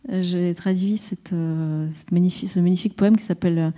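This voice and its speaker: A woman poet and translator speaking at a round-table discussion, halting and searching for her words. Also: on the low side, fairly even intonation, mellow.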